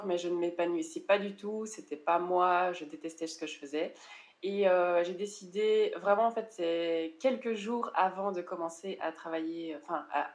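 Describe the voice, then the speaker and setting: A woman from France in her thirties, a guest in a conversational interview, talking casually and often hesitating and restarting. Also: fairly low pitch. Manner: chatty, frequent hesitations and restarts. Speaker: interview guest, online seller coach.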